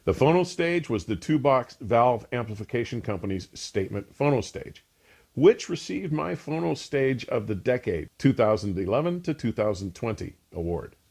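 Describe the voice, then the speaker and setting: A man's voice: medium pitch, expressive, normal speed, gravelly, a little mellow, husky. A man hosting a scripted audio gear review, speaking in an emphatic, polished, enthusiastic way. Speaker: audio equipment reviewer and show host. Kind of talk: scripted product review narration.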